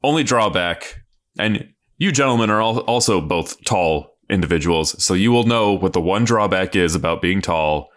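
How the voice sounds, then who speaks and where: Deep-voiced man boasting in deadpan. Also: moderate pace. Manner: deadpan boastful with crude jokes. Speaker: podcast co-host. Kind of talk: comedic casual chat.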